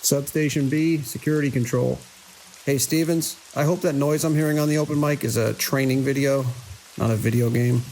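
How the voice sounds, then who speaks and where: An actor playing a weary, watchful security chief in an audio drama, speaking in a dry, measured way. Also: male voice, mid-range voice, fairly even intonation, darkish tone, lightly acted. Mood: dry, commanding. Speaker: security lead. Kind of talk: audio drama, logs and radio dialogue. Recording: hiss and rain-like noise.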